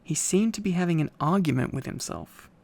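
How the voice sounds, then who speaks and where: Man with a creaky voice reading a story. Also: fairly high pitch, expressive, brisk, darkish tone. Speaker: narrator. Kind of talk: relaxed bedtime storybook reading.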